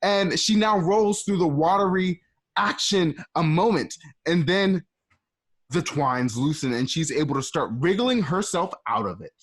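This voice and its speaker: A man's voice: on the high side, lively intonation, measured pace. Chatty man narrating a radio play. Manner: chatty, adds asides. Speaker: narrator and host. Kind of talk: casual stage-direction narration.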